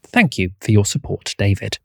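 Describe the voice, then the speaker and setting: A man's voice: on the low side, big pitch swings, normal speed, vocal fry, a bit bright, no echo. A man reading scripted narration as the announcer of a podcast. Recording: dry studio sound.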